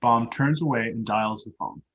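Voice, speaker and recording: A man reading out stage directions as scripted narration for a play, with a husky voice. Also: mid-range voice, normal speed, a bit bright, dull audio.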